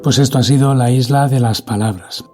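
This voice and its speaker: A man's voice: mid-range voice, fairly quick, over music. A man hosting a podcast, closing an interview and the show in a friendly way. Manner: friendly, brief affirmations. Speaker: podcast host.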